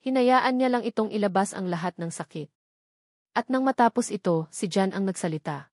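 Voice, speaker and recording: A woman narrates a scripted love story in the manner of a dramatic audiobook. Her pitch sits in the middle of the female range. The sound is dry, with no room around it. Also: animated, moderate pace, dry recording.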